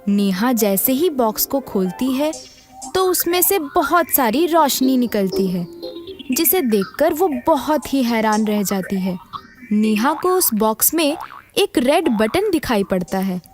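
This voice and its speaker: A woman tells a scripted children's story in a high voice with very lively, swinging intonation. Soft music runs behind the narration. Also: a little slow.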